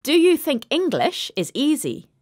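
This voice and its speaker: A woman interviewer reading out short, clear questions. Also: sits high, wildly swooping pitch, measured pace, a little mellow, no echo, studio quality.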